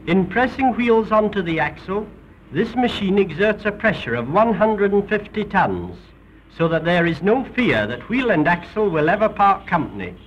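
An old, dull-sounding film soundtrack with some noise carries a British man's voice. He narrates like a 1930s documentary presenter, formal and a little theatrical. His delivery swings in a lively way through the phrases. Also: sits high, moderate pace.

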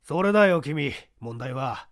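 A man reading a mystery aloud, playing a seasoned older investigator who explains the case in a measured, testing way. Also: a little high, lively intonation, creaky, dry recording, clean studio sound. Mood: explaining.

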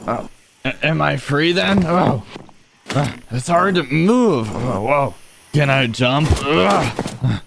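Man as a goofy, high-voiced creaky cartoon adventurer. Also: lively intonation, slow pace, full character voice. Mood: flustered. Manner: exclamatory, slangy, hesitant muttering. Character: goofy, exclaiming young stick-figure adventurer. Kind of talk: amateur comedic cartoon voice-over. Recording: noisy home recording.

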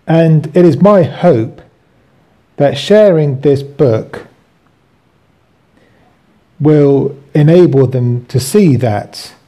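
A man hosting and reading from his own book with commentary, in deliberate, halting phrases. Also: on the high side, dark tone.

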